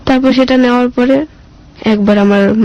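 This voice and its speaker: A woman's voice: fairly high pitch, fairly even intonation, moderate pace, mellow, no echo, dull audio. A girl in her mid-teens with a colloquial Bangladeshi accent, telling her own story simply in an interview with short, plain answers.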